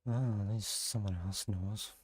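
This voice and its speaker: A man with a gravelly, husky voice doing casual gameplay commentary, musing aloud in halting bursts. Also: fairly low pitch, steady tone, a little mellow, no echo. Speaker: game streamer.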